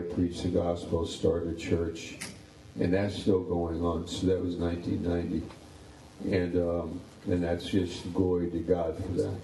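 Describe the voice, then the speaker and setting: A man's voice: deep voice, warm tone, roomy sound, recorded from a distance, a little hiss or hum, low-quality recording. A pastor in his sixties chatting casually about his ministry, rambling and repeating words. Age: 60s. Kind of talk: casual interview chat.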